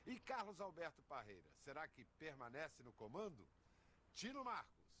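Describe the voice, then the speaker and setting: A man's voice: a little high, very animated, moderate pace, bright, forceful tone, a little hiss or hum. A Brazilian man presenting television sports news as the anchor, in scripted questions and announcements.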